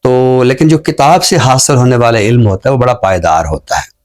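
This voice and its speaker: A man with a Pakistani accent speaking in a formal, scholarly, measured way on a literary talk show, pausing mid-sentence. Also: medium pitch, a little mellow. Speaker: literary talk show guest and book reviewer. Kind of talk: unscripted book commentary and poetry recitation.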